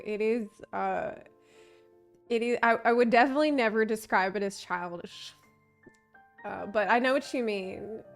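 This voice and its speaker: A woman game streamer giving chatty, expressive, casual live commentary. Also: mid-range voice.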